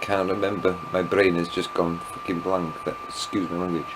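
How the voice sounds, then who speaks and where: A man's voice: deep voice, level tone, moderate pace, noisy background. A man with a Welsh accent, a native Welsh speaker, rambling through an evening video diary, a little tipsy and often losing his thread. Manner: meandering, loses his thread, self-deprecating asides. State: tipsy after wine. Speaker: vlogger.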